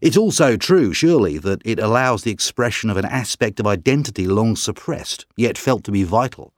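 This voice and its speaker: An actor playing a calm, articulate writer being interviewed in a scripted exam recording. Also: male voice, fairly low pitch, a little fast, no room sound, clean studio sound, close to an everyday voice. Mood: earnest. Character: man, calm thoughtful writer and broadcaster. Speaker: interview guest.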